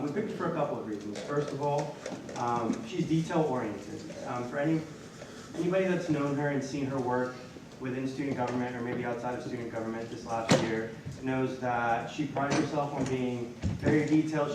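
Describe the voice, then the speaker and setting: A young man in student government presenting a nominee in a formal speech, with many ums and restarts. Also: medium pitch. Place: large echoey meeting hall. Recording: echoey hall, far from the mic, noisy.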